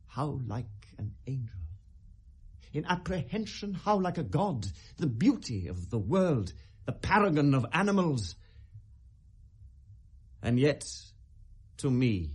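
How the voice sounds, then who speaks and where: A British actor playing a brooding, sardonic young prince feigning madness, theatrical and mercurial in a 1970s classical radio drama. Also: male voice, medium pitch, a little mellow, breathy edge, exaggerated voice. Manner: theatrical, mercurial, precisely declaimed.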